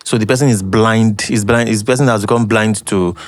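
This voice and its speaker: A man's voice: on the low side, fairly even intonation, fairly quick, no room sound. Guy explaining stuff on a podcast, kinda rambly, dry close sound. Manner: explanatory, laughs often, rambling restarts. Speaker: guest storyteller and historian. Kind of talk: podcast conversation.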